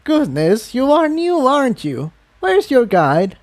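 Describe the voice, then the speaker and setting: A man voicing a brisk, insistent elderly female pony in a fantasy story reading, speaking matter-of-factly. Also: very high-pitched, huge pitch swings, a little mellow, pitched above the performer's own voice, heavily acted. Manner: exclaiming, matter-of-fact, addresses listener as dear.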